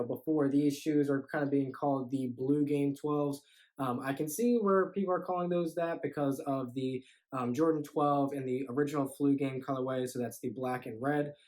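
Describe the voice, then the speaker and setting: A man's voice: medium pitch, a little mellow, rough recording. A young man in his twenties with a stuffy, congested voice, talking casually to the camera through a sneaker unboxing, with lots of fillers and "you know"s. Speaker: sneaker YouTuber. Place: indoor room with shoe boxes.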